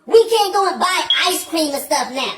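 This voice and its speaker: A furious, squealing mother yells and drags her words out in a comic rant, put on by a woman. Her voice sits very high and bounces around a roomy space with a faint hiss behind it. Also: animated. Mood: drawn-out indignant. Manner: shouting, dragging words out slowly. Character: loud outraged mother ranting about snacks. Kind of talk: satirical rant. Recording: echoey room with faint noise.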